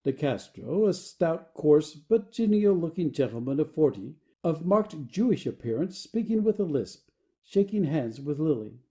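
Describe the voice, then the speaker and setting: A man reading the stage directions of an audio play as expressive scripted narration. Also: fairly high pitch, moderate pace.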